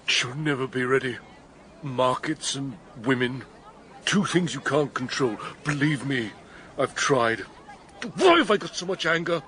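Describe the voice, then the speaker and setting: A brash, self-pitying bloke. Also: male voice, mid-range voice, measured pace, sharp tone, slight background noise. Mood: glum. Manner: blokey, rambling. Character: brash, self-pitying man thrown out by his wife.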